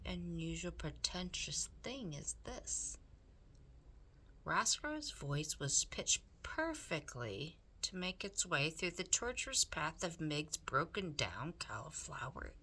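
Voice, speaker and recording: Teacher lady reading a storybook out loud, slow pace, really animated. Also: sits low, breathy edge. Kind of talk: expressive storybook narration.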